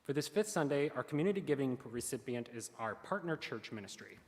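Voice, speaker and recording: A man's voice: medium pitch, animated, brisk. A man reading the offering announcements at a church service in an earnest, careful way, with small slips. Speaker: worship service lay leader. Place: church sanctuary. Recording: long hall reverb.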